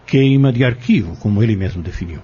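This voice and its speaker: A man's voice: lowish voice, expressive, fast talker, warm tone, slightly rough, lo-fi sound. An actor in an audio drama playing an insistent, scheming man who goads and persuades in formal wording, bent on exposing a corrupt family. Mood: bitter.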